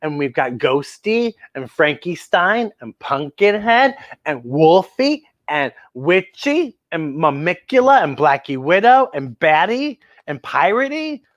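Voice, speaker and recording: A woman's voice: deep voice, big pitch swings, slow, lo-fi sound. A woman hosting a children's story time, reading a picture book aloud expressively and talking directly to young listeners with exclamations.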